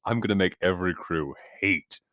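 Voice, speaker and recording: A man's voice: sits low, normal speed, sharp tone, no room sound. A man joking casually as a player during a tabletop roleplaying game.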